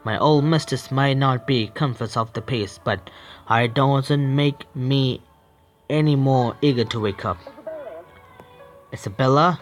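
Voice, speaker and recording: A man with an Indian English accent, a gaming streamer reading a horror visual novel aloud, with halting restarts. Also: medium pitch, faint music behind. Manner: halting, stumbling read with restarts.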